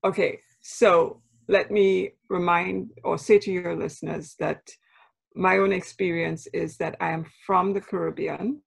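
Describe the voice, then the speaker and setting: A woman's voice: fairly low pitch, steady tone, gravelly, slightly rough, poor audio. A woman from Trinidad with a Trinidadian accent, a professor explaining patiently in an interview and often checking in with "right?". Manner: measured, spells out terms, checks in with "right?". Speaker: anthropology professor, guest.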